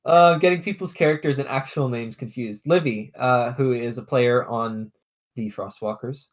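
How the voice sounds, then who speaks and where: A woman's voice: rumbling low, moderate pace. A young adult woman, a dungeon master, chatting casually on a podcast, rambling, swearing and laughing often.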